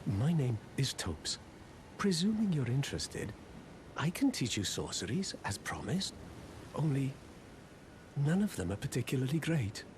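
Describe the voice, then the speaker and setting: Meek apprentice sorcerer, swooping pitch. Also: male voice, sing-song, a little slow, pauses often, background noise. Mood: meek, grateful. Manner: apologetic, self-deprecating, hesitant. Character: hapless, humble apprentice sorcerer, meek and wistful. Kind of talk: video game character dialogue.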